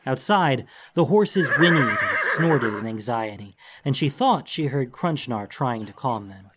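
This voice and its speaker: A man's voice: mid-range voice, animated, a little slow, background noise. A man reading a scripted fantasy story as an audiobook narrator, in a dramatic storytelling style. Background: background music.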